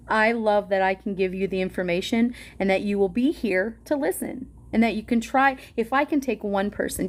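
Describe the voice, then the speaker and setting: A woman hosting a livestream, chatting excitedly with viewers and reading a policy document aloud, with a loud voice and a casual, swearing manner. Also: sits high.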